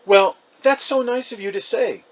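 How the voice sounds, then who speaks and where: Man voicing a high, gently coaxing mother. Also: moderate pace, low-quality recording. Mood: polite, gushing. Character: polite, proud, gently coaxing mother of a schoolboy.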